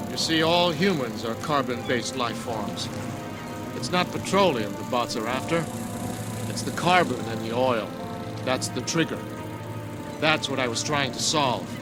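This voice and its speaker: A man acting a grim senior scientist with a formal, sardonic and deliberate delivery, in dramatic radio play style. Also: medium pitch, close to an everyday voice.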